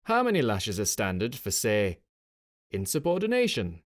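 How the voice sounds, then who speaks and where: A man's voice: fairly low pitch, huge pitch swings, normal speed. A haughty military officer in a fantasy audiobook, acted out by a male reader. He is pompous and commanding, impatient with inferiors, and speaks with sneering sarcasm. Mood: condescending. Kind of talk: dramatic audiobook character voice.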